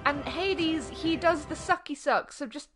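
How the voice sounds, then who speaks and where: A woman's voice: high voice, measured pace, over loud music. A young woman with a Yorkshire accent, chatting casually and swearing while playing an online game with a friend, teasing and panicky by turns. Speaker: gaming streamer. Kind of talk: casual co-op gameplay chat.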